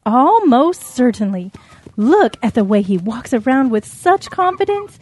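A woman's voice: fairly high pitch, sing-song, a little slow, darkish tone, husky, full character voice. A woman voicing a proud, assured mother cow in a children's audio drama.

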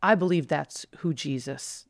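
A host's voice with a gravelly, creaky edge, at a middling pitch. This host speaks in a casual interview, gushes with affirmations and often stops to restart sentences. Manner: effusive, gushing affirmations, halting restarts. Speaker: podcast host.

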